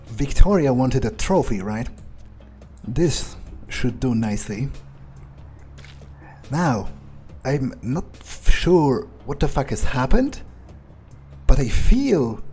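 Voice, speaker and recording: A ruthless, foul-mouthed vampire, played by a man in an improvised game monologue. He speaks slowly in a dark, relaxed voice at a middling pitch, weighing things aloud with halting, menacing calm. Faint music plays behind him. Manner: musing, halting, profane, thinking aloud.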